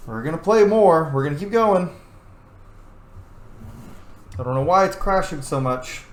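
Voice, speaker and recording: A man's voice: on the high side, expressive, a little slow. A man giving casual, off-the-cuff commentary as he records his video game playthrough, muttering to himself. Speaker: gamer recording a roleplay playthrough. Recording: roomy echo, faint background noise.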